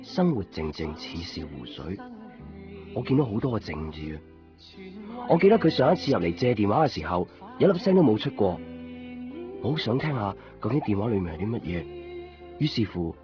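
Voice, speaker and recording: An inquisitive, fixated young man in a radio drama. His pitch swings widely. The recording is dull and band-limited, with music clearly behind it. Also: very animated, moderate pace, pauses often. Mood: reflective, quiet. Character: curious, obsessive young man narrating his own story. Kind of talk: Cantonese radio drama, introspective narration and dialogue.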